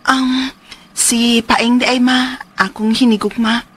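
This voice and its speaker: A woman's voice: fairly high pitch, level tone, slow pace, breathy edge. A woman acting an anxious, emotional young mother in a radio drama, speaking with a Cebuano Filipino accent. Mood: timid, worried.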